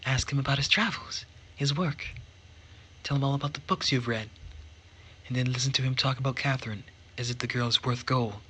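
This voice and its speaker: A man's voice: mid-range voice, a little fast, pushed and bright, pitched above the performer's own voice, close to an everyday voice. A man voicing a domineering older mother from a visual novel, sweetly needling and condescending. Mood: mocking. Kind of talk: visual novel read-aloud. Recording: background noise.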